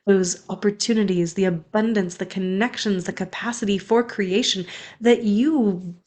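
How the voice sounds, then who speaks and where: A woman's voice: medium pitch, normal speed, a little mellow. A young woman, a spiritual coach, speaking in an earnest, flowing, affirming way in an inspirational monologue.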